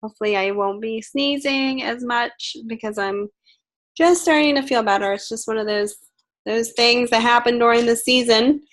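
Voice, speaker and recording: A woman's voice: on the high side, moderate pace. Congested woman giving an online lecture. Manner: chatty, reassuring, many fillers and restarts. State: nasal from a head cold. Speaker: online course instructor. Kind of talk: recorded lecture.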